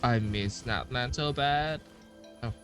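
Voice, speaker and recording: Guy commentating a game, slow and halting, casual, average pitch, soft game music behind. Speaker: video game streamer.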